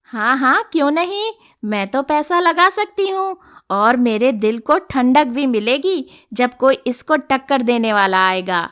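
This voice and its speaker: A woman voicing a jealous, greedy shopkeeper mother with a disapproving, scheming manner in an animated moral story. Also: high-pitched, measured pace, hardly any pauses, smooth. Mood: calculating.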